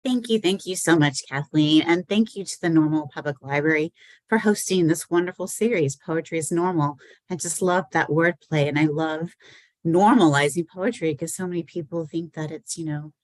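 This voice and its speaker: A woman's voice: low-pitched, normal speed, no echo. A woman poet and editor speaking in a casual, grateful, chatty way as she introduces a reading on a video call. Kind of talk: casual, conversational talk.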